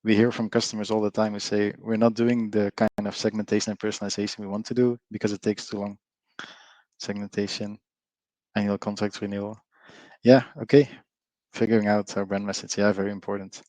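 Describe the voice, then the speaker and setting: A man's voice: lowish voice, little pitch movement, brisk, a little mellow, no room sound, poor audio. A middle-aged man from Belgium with a Flemish Belgian accent, giving a live online presentation in a chatty way with frequent fillers, often drawing in his audience. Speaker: webinar presenter, company co-founder.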